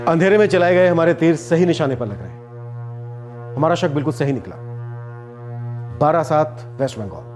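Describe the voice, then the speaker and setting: A man's voice: on the high side, expressive, moderate pace, long pauses, darkish tone, soft background music, natural voice. An actor playing a stern senior police officer who gives firm orders to his team. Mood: commanding. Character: stern adult male police chief.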